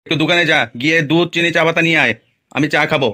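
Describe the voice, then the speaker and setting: Bad-tempered village father for comedy cartoon male actor: high-set voice, very fast, crude and threatening. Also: fairly even intonation, sharp tone. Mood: scolding.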